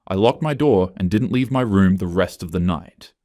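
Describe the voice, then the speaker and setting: Man as shaken woman employee in a story, low voice, halting and confiding, fearful. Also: mellow, dry recording, studio quality, lightly acted. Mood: uneasy, confiding. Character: upset, fearful young female office complainant.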